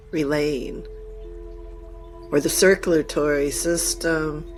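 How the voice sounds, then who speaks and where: Female meditation guide, markedly slow and deliberate, formal phrasing broken into short pieces, soft music and chimes behind. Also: low-pitched. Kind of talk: guided meditation, channeled message.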